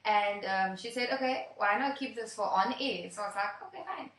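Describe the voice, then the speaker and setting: A woman's voice: mid-range voice, brisk, a bit bright, reverberant, far from the mic, poor audio. A young woman hosting a radio interview, chatty and giggly, with many fillers. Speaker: radio host.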